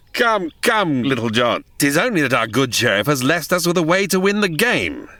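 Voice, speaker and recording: An actor playing a bold, commanding outlaw hero, declaiming in heroic old radio drama style. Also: male voice, a little high, very animated, normal speed, pushed and bright, no room sound. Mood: sly, rallying. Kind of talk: old-time radio adventure acting, archaic English.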